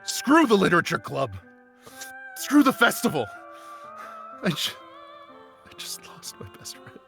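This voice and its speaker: A man playing an anguished teenage schoolboy who narrates a visual novel fan dub in a halting, emotionally raw way. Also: sits high, very animated, creaky, bright, forceful tone, soft background music, heavily acted. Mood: angry, grieving.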